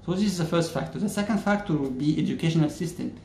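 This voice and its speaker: A man in his thirties from Egypt, speaking English, explains a topic to camera in a measured way, pausing often on "uh". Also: on the high side, mellow, roomy sound, slight background noise. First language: Arabic. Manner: measured, many "uh" pauses, gestures with hands. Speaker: YouTuber. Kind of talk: casual explainer talking to camera. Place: plain-walled indoor room.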